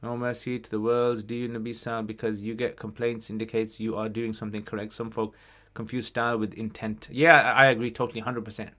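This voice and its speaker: A man's voice: on the low side, flat delivery, brisk, few pauses, pushed and bright. Informal man chatting on a livestream. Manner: informal, conversational, sprinkles in Arabic phrases. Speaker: livestream host answering questions on Islam. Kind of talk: casual livestream Q&A chat, reading out questions.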